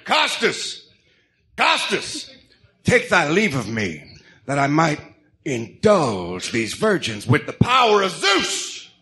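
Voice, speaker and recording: A man's voice: on the high side, huge pitch swings, slow, sharp tone, heavily acted. A man playing a delusional crime boss who believes he is Zeus, declaiming grandly in archaic words in an amateur audio drama. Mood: lustful, pompous. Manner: grandiose, theatrical, archaic diction.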